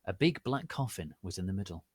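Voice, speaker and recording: A man giving a deliberate, theatrical dramatic reading of fan fiction as narrator. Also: low voice, big pitch swings, breathy edge, no room sound. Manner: deliberate, theatrical, reads misspellings exactly as written.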